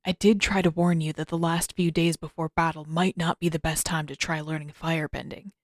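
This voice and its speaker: A woman voicing a reserved, earnest teenage prince in an understated, measured and wry way for an audiobook story. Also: sits low, level tone, no echo, studio quality, pitched below the performer's own voice, lightly acted. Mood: cautious, wry.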